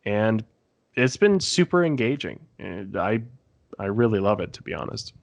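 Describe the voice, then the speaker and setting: Low-ish male voice, casual podcast talk. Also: big pitch swings, normal speed, creaky.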